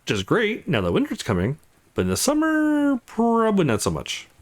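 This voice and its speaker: A man's voice: sits high, huge pitch swings, moderate pace, pitched above the performer's own voice. A man voicing a teasing, wry young woman with a casual, defiant streak in a visual novel game. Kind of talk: visual novel dialogue read aloud.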